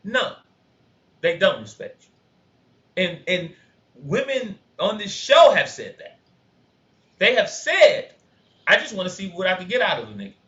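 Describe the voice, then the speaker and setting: A man co-hosting a casual podcast who says he has a high voice and a dumb laugh, talking in an animated way that rises to loud points. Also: a little slow, pauses often. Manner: animated, laughs often, gets loud when making a point. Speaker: podcast co-host. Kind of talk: casual reaction podcast chat. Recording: roomy, dull lo-fi sound.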